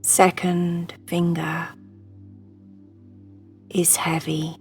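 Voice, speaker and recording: A woman guiding a sleep meditation in a hushed, soothing, breathy voice that often nears a whisper. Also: on the low side, flat delivery, drawn-out words, faint music behind. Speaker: meditation guide.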